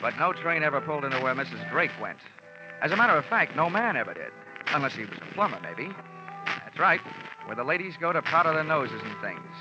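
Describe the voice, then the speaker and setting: A wry, cynical American investigator tells his case in clipped, dry lines, in a slightly high male voice. It is a vintage radio detective drama, with a dull recording and clear music behind. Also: big pitch swings, a little fast. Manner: dry, wisecracking, clipped storytelling. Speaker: detective narrator. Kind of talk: 1940s-50s radio detective drama narration.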